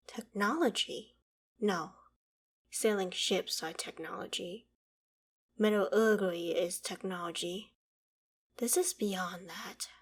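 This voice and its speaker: Woman doing an ancient lady dragon for asmr, real slow talker, mid pitch, formal old-timey wording, proud but curious. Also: slight background noise. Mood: baffled, awed. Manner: measured, formal, archaic phrasing. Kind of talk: ASMR roleplay audio drama, addressing the listener.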